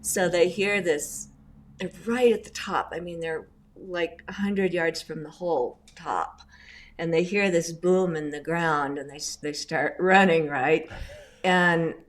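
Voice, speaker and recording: A middle-aged woman, raised in Indonesia, speaks in a low voice. She tells her stories in a chatty, animated way and fills them with asides as an interview guest. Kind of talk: casual storytelling interview.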